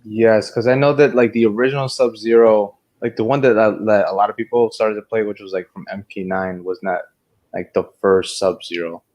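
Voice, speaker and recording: A man with a fairly low voice speaks as a podcast co-host. He talks casually and wanders through tangents as he discusses films. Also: fairly even intonation, brisk, darkish tone. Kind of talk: casual film review discussion.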